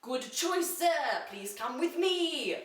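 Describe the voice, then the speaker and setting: A woman's voice: sits high, animated, takes it slowly. A woman voicing a polite, deferential red humanoid robot servant in a story reading. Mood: formal, obliging. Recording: roomy echo, distant microphone.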